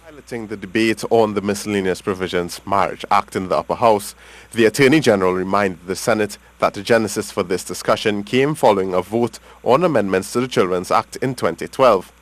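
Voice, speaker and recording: Low-pitched Trinidadian male news reporter. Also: a little fast. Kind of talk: scripted news report narration.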